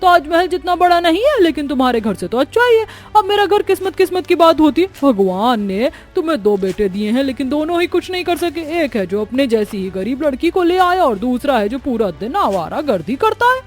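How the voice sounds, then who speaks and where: A woman's voice: sits high, very animated, fairly quick, hardly any pauses. A woman voicing a boastful, smug middle-aged sister-in-law in a cartoon story, gloating and taunting. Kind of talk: Hindi cartoon story dubbing.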